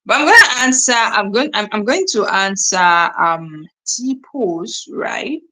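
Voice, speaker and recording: Bright-voiced Nigerian woman chatting on a livestream. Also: mid-range voice, animated, takes it slowly. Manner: chatty, repeats herself, many fillers and restarts. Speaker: livestream host. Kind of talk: casual live stream chat reading viewer comments.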